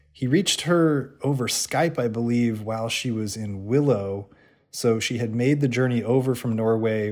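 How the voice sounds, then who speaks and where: A male podcast host with a somewhat low voice. He sets up the next segment in a relaxed, explanatory way, wandering a little as he goes. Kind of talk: scripted podcast intro.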